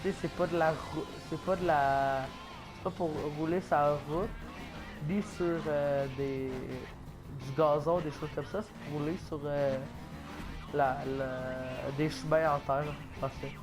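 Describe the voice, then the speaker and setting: A Québécois man with a head cold talks through a video game in a slightly high, flat voice. His delivery is halting, full of fillers and restarts, with a stuffy, coughing edge throughout. Game music plays clearly beneath him. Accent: Québécois French. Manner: hesitant, many fillers and restarts. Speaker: gaming YouTuber. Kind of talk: casual gameplay commentary.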